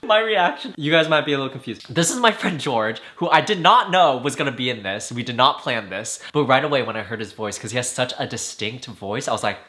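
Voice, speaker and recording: A chatty, animated man gives casual reaction commentary. His voice is bright and forceful. He talks easily and breaks into laughs often. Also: medium pitch, a little fast, few pauses. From United States. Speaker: YouTuber and musician reacting to a clip of himself.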